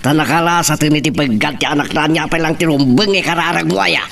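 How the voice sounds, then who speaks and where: A man's voice: fairly high pitch, fast talker, vocal fry, fairly bright tone. A man with an Ilocano accent acting an easygoing man in a rural family audio drama. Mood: reassuring.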